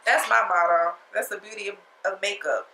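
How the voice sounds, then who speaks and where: A woman's voice: medium pitch, fairly even intonation, normal speed, creaky, pushed and bright, echoey room. A woman talking through a makeup tutorial in a casual, sassy, chatty way, her nose stuffed up from a cold. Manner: chatty, sassy, talks straight to viewers. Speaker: beauty vlogger.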